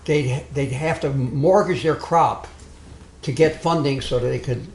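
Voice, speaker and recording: A man giving an informal history lecture to a small live audience, speaking loudly and wandering into asides and restarts. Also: on the high side, a little slow, reverberant, slight background noise. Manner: loud, digressive, with many restarts and asides. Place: meeting room with a live audience.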